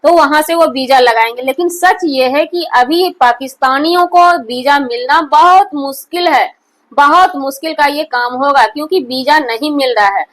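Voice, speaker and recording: A woman's voice: high-pitched, normal speed. A woman gives opinionated news commentary in an emphatic, repetitive and accusatory manner. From India. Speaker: online commentary host.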